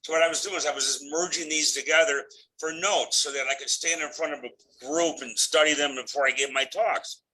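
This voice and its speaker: A man's voice: on the high side, fairly even intonation, a little fast, bright, forceful tone, a little hiss or hum, rough recording. A man with a Chicago accent, a guest author who mumbles a little and pokes fun at himself as he explains. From United States. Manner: mumbling, self-deprecating. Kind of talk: casual conversation.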